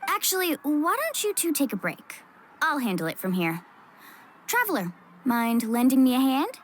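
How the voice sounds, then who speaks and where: A woman's voice: high voice, big pitch swings, normal speed, a little hiss or hum. A woman voicing an upbeat, friendly young surfer girl in a video game, with a breezy, casual manner. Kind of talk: animated game voice acting.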